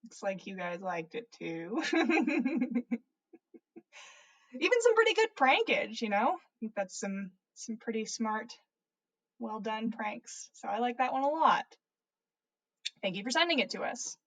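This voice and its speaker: A woman's voice: a little high, big pitch swings, a little slow, long pauses, a bit bright, low-quality recording. A woman podcast host with an informal manner, reading stories aloud and chatting casually. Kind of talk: animated story reading and casual livestream chat.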